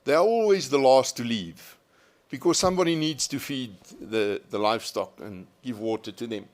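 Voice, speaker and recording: A man speaking as a conference panelist, telling an account with emphatic, deliberate repetition. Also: medium pitch. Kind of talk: panel discussion, anecdotal.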